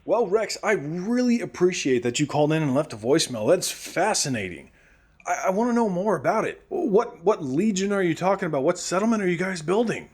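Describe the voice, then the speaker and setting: An actor playing a friendly, nervous post-apocalyptic radio host, chatty and stammering, in a comedic audio drama. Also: male voice, fairly high pitch, husky.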